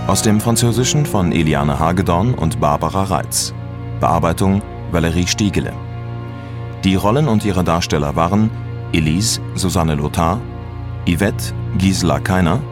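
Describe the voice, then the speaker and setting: A male radio announcer reading the play's credits in a measured, formal manner. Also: sits low. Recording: announcement over music.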